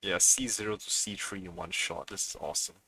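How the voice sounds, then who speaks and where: A man's voice: deep voice, fairly quick, sharp tone, no echo. A man live-streaming a video game with casual, unscripted commentary, muttering to himself and swearing freely.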